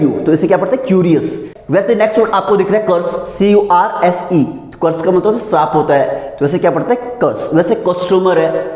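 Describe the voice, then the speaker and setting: A man's voice: sits high, a little mellow. Male Indian-accented teacher, question-and-answer drilling style, recorded in a very reverberant room. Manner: didactic, repeatedly asks questions and answers them. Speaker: English pronunciation teacher. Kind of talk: classroom lesson in spoken English. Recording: echoey room with long reverb.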